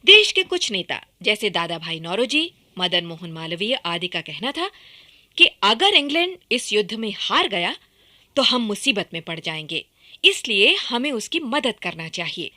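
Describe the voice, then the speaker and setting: A woman's voice: medium pitch, sing-song, measured pace, bright, forceful tone. A woman narrating a scripted historical radio drama in a dramatic, projected manner.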